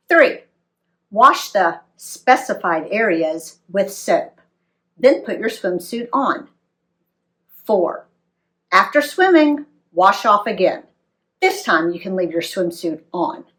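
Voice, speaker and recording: Very expressive, unhurried woman storyteller. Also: mid-range voice. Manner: dramatic, animated delivery with emphatic dialogue. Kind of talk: expressive read-aloud story narration for young listeners.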